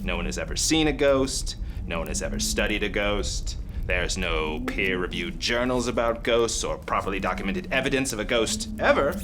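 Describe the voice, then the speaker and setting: A man's voice: lowish voice, lively intonation, normal speed, close to an everyday voice. A man playing a pompous, exasperated scientist father who lectures dismissively in a comic skit. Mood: lecturing, dismissive. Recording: clear background noise.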